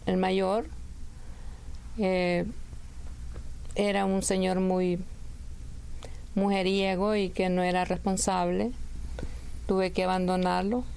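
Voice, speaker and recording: Middle-aged woman from Honduras, interviewee, halting delivery, flat gravelly voice. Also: lowish voice. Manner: slow, halting, many restarts. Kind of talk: radio interview.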